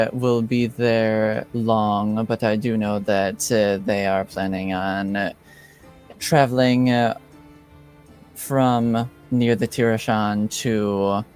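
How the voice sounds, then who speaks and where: A cloaked woman informant, played by a man at the table, speaks in stiff, formal sentences with no contractions. The voice sits low and the speech comes slowly. Soft music plays faintly in the background. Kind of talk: in-character roleplay.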